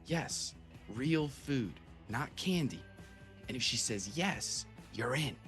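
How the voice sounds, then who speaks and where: A man's voice: medium pitch, expressive, slow pace, a bit bright, faint music behind. A man playing a savvy, blunt young boy, a jaded little brother, in a live comedic table read. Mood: coaching.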